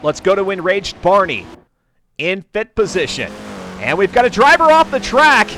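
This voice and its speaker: A high-voiced man calls a sim race as the stream's host. His patter is folksy and chatty, with jokey asides. Car engine noise runs behind his voice. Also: very animated, normal speed, bright, forceful tone. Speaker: sim racing livestream commentator and host. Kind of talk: live racing commentary. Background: car engines and race ambience.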